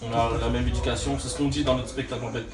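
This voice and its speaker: Man, comic, quick earnest talk; distant mic, crowd noise. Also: medium pitch, little pitch movement, roomy sound. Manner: earnest, full of fillers. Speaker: comedian. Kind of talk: interview answers.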